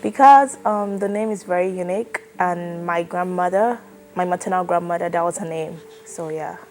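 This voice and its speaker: A woman in her twenties from Nigeria, answering an oral history interview in a relaxed, chatty way with lots of fillers. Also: lowish voice, normal speed, a little hiss or hum. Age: 20s. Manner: relaxed, chatty, many fillers like "like" and "you know".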